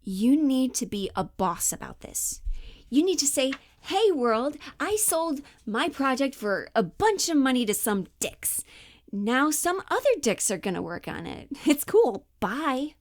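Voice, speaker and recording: A woman's voice: high-pitched, very animated, a little slow, no echo, voice pushed up, full character voice. Woman acting breezy, pushy female Hollywood agent, dry sound. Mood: pushy, upbeat. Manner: coaxing, flippant, over-reassuring. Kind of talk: dramatic audition monologue, comedic.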